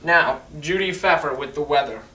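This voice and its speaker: A man's voice: fairly high pitch, expressive, normal speed, sharp tone, a little hiss or hum. A man playing a genial, dapper 1920s newsman who presents in period slang with a showman's manner. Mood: upbeat. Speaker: news anchor. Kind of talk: scripted 1920s news broadcast. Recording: echoey room, distant mic.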